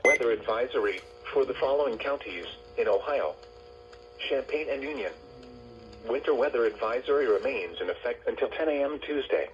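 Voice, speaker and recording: A synthetic American male announcer reading a formal weather bulletin in a measured way, heard through a radio speaker. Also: mid-range voice, animated, lo-fi sound. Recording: noisy radio speaker playback.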